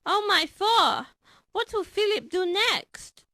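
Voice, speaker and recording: A man's voice: very high-pitched, animated, slow pace, pushed and bright, dry recording, studio quality, pitched above the performer's own voice, heavily acted. A man voicing a frightened, devoted Viking mother in a story, in a plaintive, melodramatic manner.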